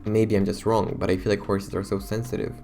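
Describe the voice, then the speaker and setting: A young man talks to his viewers in a loose, rambling, self-conscious way, his deep voice rough and hoarse. There's a gravelly fry under his words, and faint music plays behind him. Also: flat delivery, a little fast, warm tone. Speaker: YouTuber. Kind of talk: casual talk to camera.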